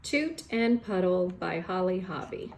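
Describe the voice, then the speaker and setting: A woman's voice: lowish voice, expressive, unhurried, reverberant, a little hiss or hum. A woman speaking informally as an elementary school teacher, chatting and reading a storybook aloud to children.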